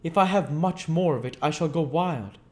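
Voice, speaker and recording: A man's voice: on the high side, normal speed, voice pushed up, natural voice. An arrogant and bitter fugitive scientist from a storybook, acted out by a man reading aloud. He declaims with indignant emphasis in a voice pushed upward, always volatile and sure of his own genius. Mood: furious, exasperated.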